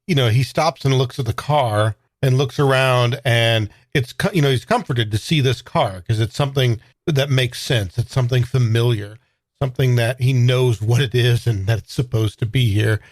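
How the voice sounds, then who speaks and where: A man's voice: medium pitch, normal speed, no echo. A man playing a tabletop game, chatting casually and describing his character in a musing, hesitant way. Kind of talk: casual improvised role-play chat.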